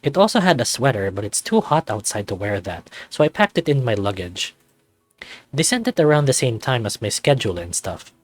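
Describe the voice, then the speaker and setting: A man's voice: mid-range voice, big pitch swings, fairly quick, no echo. A young man reading first-person visual novel narration in a cocky, wry, conversational manner.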